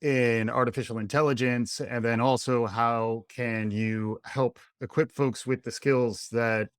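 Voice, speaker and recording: A man hosting an education podcast, introducing and questioning his guest in a friendly, measured way. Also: medium pitch, steady tone, smooth, dry recording, clean studio sound. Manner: friendly, measured, affirming with short backchannels. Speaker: podcast host.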